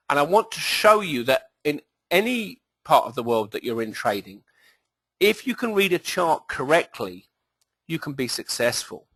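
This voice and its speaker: A man's voice: medium pitch, fairly bright tone, no echo. A middle-aged British man from England giving an enthusiastic, emphatic presentation with frequent hesitations. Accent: British English. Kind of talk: webinar presentation.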